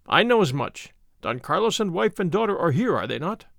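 A man's voice: high-pitched, sing-song, a little fast, vocal fry, fairly bright tone, dry recording, clean studio sound, exaggerated voice. A man voicing an arrogant, pushy army captain in an audiobook, suave and menacing, with a commanding, sneering manner. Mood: domineering.

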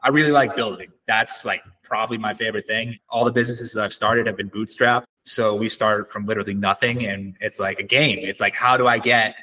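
Male podcast guest, lowish and forceful bright tone, conversational entrepreneur explaining his approach. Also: fairly even intonation, fairly quick, few pauses, rough recording. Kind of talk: casual conversational interview.

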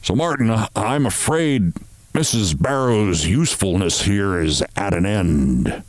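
Man acting a halting, pompous older boss. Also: on the low side, animated, dry recording. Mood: hesitant, regretful. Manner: halting, formal, hemming with ums and uhs. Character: pompous older male boss, hesitant and regretful. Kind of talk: storybook character voice.

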